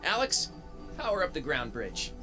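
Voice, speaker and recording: Plucky young robot soldier, lightish high pitch, wry, casual, video game dialogue, music behind. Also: male voice, wildly swooping pitch, moderate pace. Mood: determined. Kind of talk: scripted video game cutscene acting.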